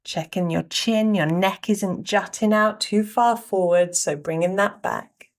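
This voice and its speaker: A woman leading a guided meditation, giving gentle instructions in short phrases with pauses between them. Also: lowish voice.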